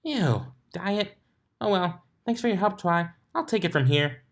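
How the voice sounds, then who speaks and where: A bubbly, excitable female pony baker from a cartoon fan story, as a narrator acts her out. The voice leaps high above normal speech and comes out loud and chirpy. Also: a little slow. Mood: excited, cheery.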